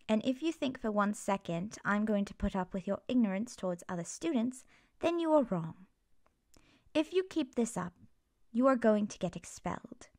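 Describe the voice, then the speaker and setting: A woman's voice: medium pitch, no room sound, clean studio sound. Woman acting young female magic student, confrontational, serious, blunt, feisty, ASMR fantasy roleplay.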